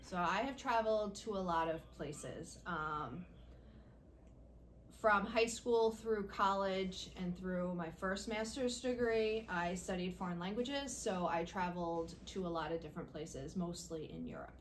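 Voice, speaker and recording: Lower-voiced middle-aged woman, measured interviewee. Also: expressive, slight background noise. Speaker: high school principal. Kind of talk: interview answers.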